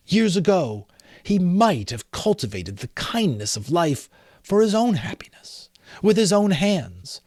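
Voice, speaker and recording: A man's voice: a little high, a little mellow, no echo, clean studio sound. A middle-aged man giving a dramatic, emphatic storybook narration, with casual explanatory asides. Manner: theatrical, emphatic, breaks off to explain. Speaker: amateur audiobook reader.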